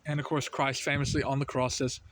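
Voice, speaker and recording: A man's voice: medium pitch, level tone, fairly quick, fairly bright tone. An American man giving casual religious commentary, earnest and rambling, full of fillers, his voice lifting when he makes a point. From United States. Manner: earnest, rambling, heavy with "you know" and "I mean" fillers.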